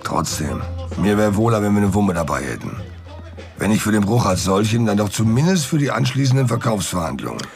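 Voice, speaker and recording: An actor playing a wry, streetwise accomplice in crime, dry and colloquial, in a German radio drama. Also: male voice, fairly low pitch, sing-song, fairly quick, gravelly, darkish tone. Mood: wry, companionable. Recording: music behind the voice. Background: music.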